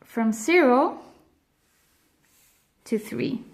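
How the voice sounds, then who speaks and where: Slow, careful Swiss German-accented woman. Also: fairly high pitch, lively intonation. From grew up on the other side of the globe. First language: Spanish and Swiss German. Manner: slow, careful, emphatic delivery with frequent pauses. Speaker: language educator. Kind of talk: explainer video lesson.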